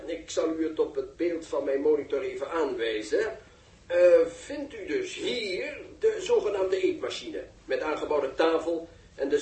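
An actor playing a pompous, easily flustered live reporter in a 1960s radio drama, speaking in formal, florid phrases. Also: male voice, on the high side, darkish tone. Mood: self-important. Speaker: television reporter. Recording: echoey, distant 1960s radio recording.

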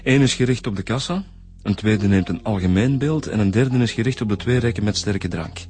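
A man's voice: on the low side, brisk, a little mellow, close to an everyday voice. A man playing a chatty, easygoing police detective in a radio drama, informal, with a Flemish accent. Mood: matter-of-fact, informal. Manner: informal, colloquial. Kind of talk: naturalistic radio drama acting.

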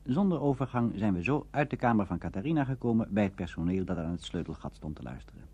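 A man presenting a documentary on radio drama as scripted narration, formal and expository, with a husky voice. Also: on the low side, animated, quick pace, mellow, dull audio.

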